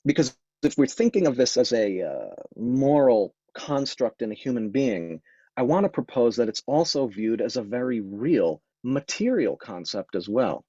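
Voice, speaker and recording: A man's voice: medium pitch, sing-song, normal speed, gravelly, warm tone, husky, no room sound. A man giving an informal lecture on Taoist medicine. He has a cold and sniffles, and he talks thoughtfully with frequent ums and restarts. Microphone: microphone. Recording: dull, dry lo-fi sound.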